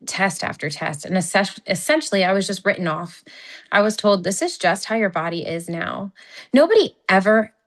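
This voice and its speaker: A woman in her thirties at a mid pitch for her sex, telling her own story as a guest. She talks earnestly and openly, with a casual, conversational flow and the odd "like" thrown in. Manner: earnest and candid, casual with frequent "like". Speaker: guest sharing a personal testimonial. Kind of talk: personal testimonial on a webinar.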